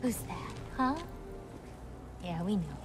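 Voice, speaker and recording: A nurturing, self-assured teenage girl in a fantasy game. She speaks slowly and softly, with lively, swooping intonation. Music plays clearly behind her. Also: fairly low pitch. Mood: soothing, affectionate. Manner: soft, tender, coaxing.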